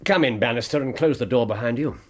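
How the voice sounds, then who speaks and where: An actor playing a keen, playful, commanding Victorian English gentleman detective in a radio drama, speaking with an English accent in a brisk, wry, theatrical way. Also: mid-range voice, background noise, close to an everyday voice.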